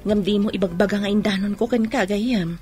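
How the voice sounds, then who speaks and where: A woman's voice: mid-range voice, fairly quick, music in the background, lightly acted. A woman playing a gossipy, probing friend in a radio drama.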